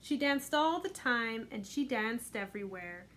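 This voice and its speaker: Gentle middle-aged woman reading a picture book. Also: a little high, a little slow, a little hiss or hum. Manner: gentle, expressive storyteller, sing-song lifts. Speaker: children's librarian. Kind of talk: storytime read-aloud for children.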